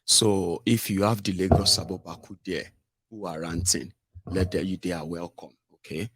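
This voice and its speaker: A man's voice: low-pitched, steady tone, moderate pace, fairly bright tone, a little hiss or hum. A middle-aged Nigerian man giving combative, mocking political commentary with rhetorical asides. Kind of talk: opinionated solo commentary.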